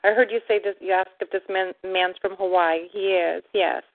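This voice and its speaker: Gravelly woman host on a phone line. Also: mid-range voice, fairly even intonation, normal speed, no room sound. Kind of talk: moderating call-in show.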